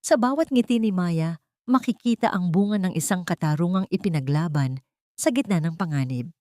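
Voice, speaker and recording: A woman storyteller reading a scripted dramatic tale with emotive, deliberate phrasing. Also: on the low side, mellow, no echo, studio quality. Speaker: storyteller, narrator of audio stories.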